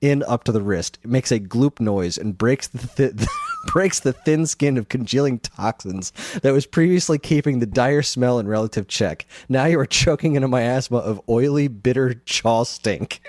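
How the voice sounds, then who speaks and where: Man reading as an exasperated, lively game narrator. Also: medium pitch, normal speed, close to an everyday voice. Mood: grossed out. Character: exasperated, disgusted game narrator scolding the player. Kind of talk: dramatic reading of comic game text.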